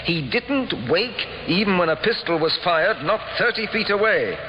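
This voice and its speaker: An actor playing a polite, probing police inspector with a measured, formal questioning manner in an old radio crime drama. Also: male voice, high voice, lively intonation, highs cut off. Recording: hall reverb, faint noise, old radio.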